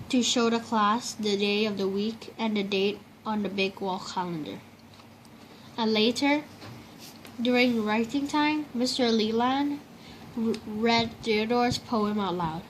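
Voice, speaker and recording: A woman's voice: on the high side, unhurried, poor audio. A woman reading a children's picture book aloud in a storytelling style. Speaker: storybook reader. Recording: clear steady background noise.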